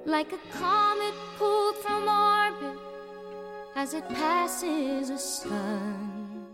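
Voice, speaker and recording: A woman's voice: high-pitched, lively intonation, drawn-out words, music in the background. A woman singing a musical theatre ballad with musical accompaniment. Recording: song with prominent musical accompaniment.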